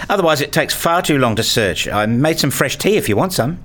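A man's voice: a little high, expressive, brisk, fairly bright tone. A man reading scripted first-person narration for an audio play, slipping into acted dialogue as a father.

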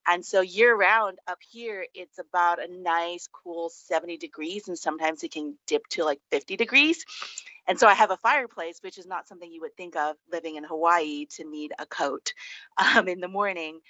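A woman's voice: lowish voice, moderate pace, sharp tone, no echo. A woman from Singapore speaking casually as an interview guest, looking back on her experiences, on slightly lo-fi audio. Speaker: interview guest, food and travel journalist. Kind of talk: casual reminiscing interview chat.

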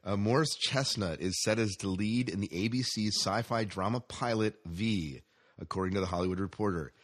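A man's voice: lowish voice, normal speed, no room sound, clean studio sound. A man hosting a casual news chat, speaking conversationally and often putting questions to his co-host. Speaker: podcast host reading entertainment news.

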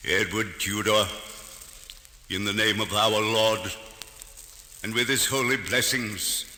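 An actor playing a stately archbishop, intoning ceremonially in an old-time radio drama. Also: male voice, on the low side, steady tone, takes it slowly, bright, forceful tone, a little hiss or hum, exaggerated voice. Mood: solemn. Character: solemn, stately archbishop crowning a king. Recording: echoey old radio recording, long reverb.

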